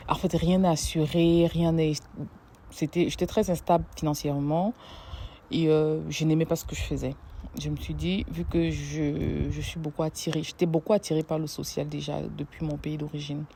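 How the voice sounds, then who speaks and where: A young woman from Africa, a social work student, giving a hesitant personal testimony with many pauses and restarts. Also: deep voice, level tone, dark tone, a little hiss or hum.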